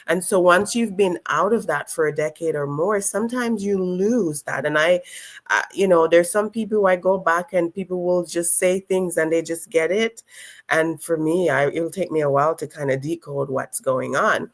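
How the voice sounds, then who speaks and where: Caribbean woman author guest, thoughtful, filler-heavy. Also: lowish voice. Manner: thoughtful, measured, with many fillers. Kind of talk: conversational interview.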